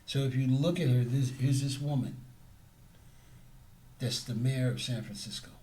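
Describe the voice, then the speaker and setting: A man's voice: medium pitch, normal speed, warm tone, slight background noise. A man who trains security guards, speaking in a casual interview and repeating his points for emphasis.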